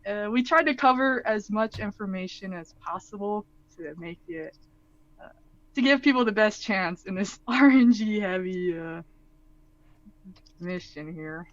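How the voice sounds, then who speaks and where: A woman's voice: mid-range voice, a little slow, no room sound. A woman co-hosting a live Q&A, explaining a game guide at length with many "um"s and restarts. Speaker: co-host and guide writer. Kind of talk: casual live Q&A chat. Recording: dull lo-fi call audio.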